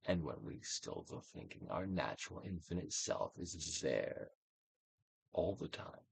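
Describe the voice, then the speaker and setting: Slow dramatic guy reading like a stage actor, really swoops around, guided meditation type thing. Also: very deep voice, sing-song, gravelly, husky, dry recording. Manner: theatrical, deliberate actorly delivery with emphatic swells and hushed drops. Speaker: narrator reading a self-help book aloud.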